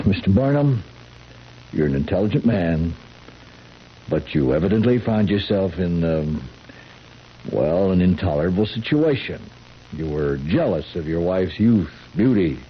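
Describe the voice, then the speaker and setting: A skeptical, weary sheriff in an old radio play. His very deep voice reasons in a measured, halting way through a muffled recording with faint noise. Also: lively intonation. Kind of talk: old-time radio drama acting.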